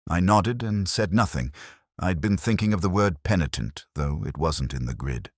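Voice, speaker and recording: A middle-aged man narrating a first-person detective story in a brooding, measured, literary way. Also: low voice, animated, no echo, clean studio sound.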